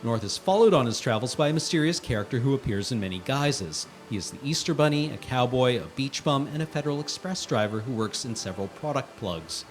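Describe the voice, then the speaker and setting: A man reads a written review aloud with emphatic exasperation. His pitch moves around a lot, swinging with each point. Music plays behind his voice. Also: lively intonation, fairly quick, few pauses. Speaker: guest reader on a charity livestream. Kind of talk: dramatic reading of a written film review.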